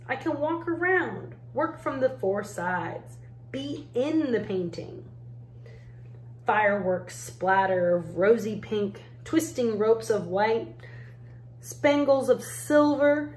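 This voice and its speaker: Slow-reading woman in an echoey room. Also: mid-range voice, darkish tone. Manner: measured, deliberate phrasing with pauses. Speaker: art educator reading a picture book aloud. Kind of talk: storybook read-aloud narration. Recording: roomy echo, faint background noise.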